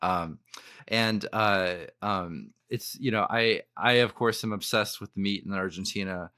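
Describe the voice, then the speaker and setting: A man's voice: fairly low pitch, unhurried, pushed and bright, no echo, clean studio sound. A man hosting an interview podcast, asking questions with a lot of "uh" and "um". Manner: hesitant, many fillers. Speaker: podcast host.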